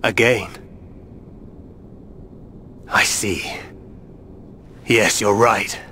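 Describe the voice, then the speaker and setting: A man acting a solemn, bitter leader of a machine people in a video game, speaking in a formal, measured, grave manner. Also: on the low side, fairly even intonation, sharp tone, slight background noise, heavily acted.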